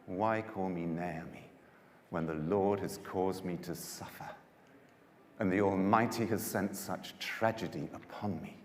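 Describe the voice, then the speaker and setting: A man voicing a bitter, grieving older widow in a slow, emphatic lament from a Bible story. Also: low voice, a little hiss or hum. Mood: bitter, anguished. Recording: echoey hall, long reverb.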